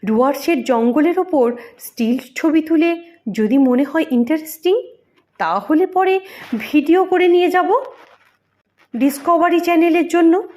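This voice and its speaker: A woman's voice: high voice, measured pace, darkish tone. A woman voicing an earnest, polite middle-aged male photographer in an audio story, speaking formally with English phrases mixed in. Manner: formal, courteous, emphatic, mixing in English phrases.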